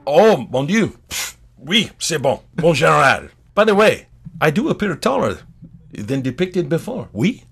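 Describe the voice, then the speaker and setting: A man's voice: fairly high pitch, very animated, measured pace, vocal fry, fairly bright tone, husky, voice pushed up, exaggerated voice. A man voicing a pompous, touchy French emperor with a French accent and a theatrical, imperious manner in an improvised comedy sketch. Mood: grandly exclaiming.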